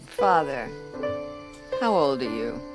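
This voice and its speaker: Tough galactic gumshoe, low pitch, cool and wry, radio drama, music underneath. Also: female voice, expressive, slow pace, full character voice, rough recording. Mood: wry, probing. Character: cool, tough, wry female space detective. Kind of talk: radio play acting.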